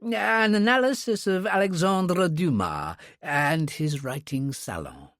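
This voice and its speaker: A man's voice: a little high, expressive, slow, fairly bright tone, no echo, clean studio sound, pitched above the performer's own voice, heavily acted. A man voicing a genteel, easily flustered male professor with old-fashioned, effusive manners in an audiobook story. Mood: flustered, moved.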